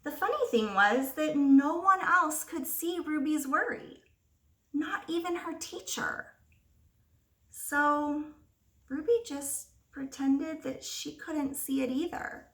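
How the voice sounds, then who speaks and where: A woman teacher reading a picture book aloud to young children, expressively, pausing to ask them simple questions. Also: sits high, fairly bright tone. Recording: roomy echo, distant microphone.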